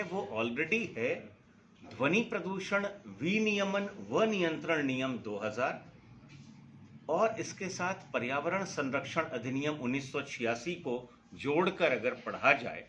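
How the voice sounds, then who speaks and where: A man's voice: on the high side, fairly bright tone. A middle-aged man, a campaigner against noise, explaining the rules point by point in an earnest, emphatic way. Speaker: noise pollution campaigner, foundation secretary. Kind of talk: explanatory interview answer.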